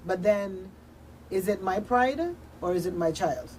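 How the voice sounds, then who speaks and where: A woman's voice: mid-range voice, unhurried, a little mellow. A woman speaking earnestly and conversationally as a guest in a discussion, repeating phrases for emphasis. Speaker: radio drama producer, studio guest. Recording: noticeable background noise, room ambience.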